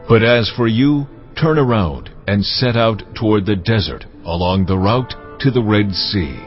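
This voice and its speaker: An actor voicing a stern, solemn God in a dramatized scripture reading, speaking in measured, commanding pronouncements. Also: male voice, on the low side, lightly acted. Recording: dull sound with music underneath. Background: music.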